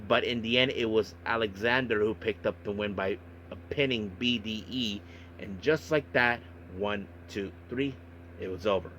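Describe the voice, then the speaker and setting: A man hosting a podcast monologue, recapping and commenting on events in a halting way with repeated filler phrases. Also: lowish voice, fairly bright tone, a little hiss or hum. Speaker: wrestling podcast host.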